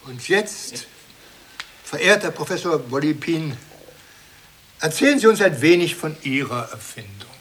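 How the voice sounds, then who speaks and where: An actor playing a ceremonious, excitable academy chairman in an absurdist radio comedy, pompous and declamatory. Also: fairly high pitch, a little slow, long pauses, a little hiss or hum. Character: ceremonious, excitable academy chairman presenting his eminent colleagues. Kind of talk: 1980s German absurdist radio comedy acting.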